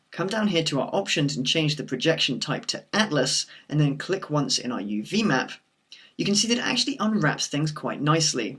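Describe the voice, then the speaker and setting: A man with a croaky voice from a sore throat, talking through a screen-recorded software tutorial in a steady explanatory way. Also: mid-range voice. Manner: steady explanatory walkthrough with occasional fillers.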